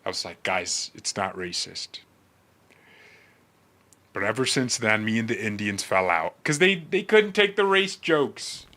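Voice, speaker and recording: A man rambling casually and comedically to livestream viewers in a brash, mocking, animated manner. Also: fairly low pitch, a little slow.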